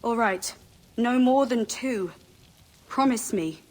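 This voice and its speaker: An actress playing a worried but resolute young wife in a video game cutscene, with a soft, pleading yet firm way of speaking. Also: female voice, on the high side, animated, slow. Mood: pleading, resigned.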